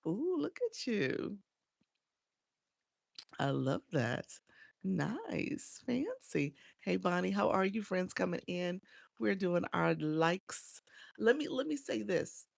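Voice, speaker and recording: Congested, gravelly woman livestreaming. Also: fairly low pitch, sing-song, takes it slowly, no echo. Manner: chatty and encouraging, greets viewers by name. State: congested, a little nasal from a cold. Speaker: live streaming coach. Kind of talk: casual livestream chat with viewers.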